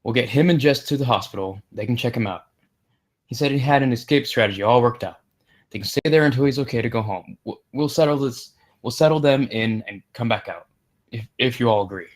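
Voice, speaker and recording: Man acting a worried peacemaker, table-read drama, average pitch, hurried, placating. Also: close to an everyday voice. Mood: anxious, reassuring. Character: anxious, placating man trying to reassure his friends. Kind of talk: acted screenplay table read.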